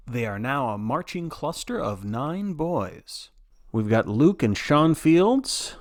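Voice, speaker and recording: Animated man doing play-by-play. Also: mid-range voice, dry recording, studio quality. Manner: theatrical broadcaster delivery with wry, folksy asides. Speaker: narrator playing a sports broadcaster. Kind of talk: play-by-play sports commentary, scripted storytelling.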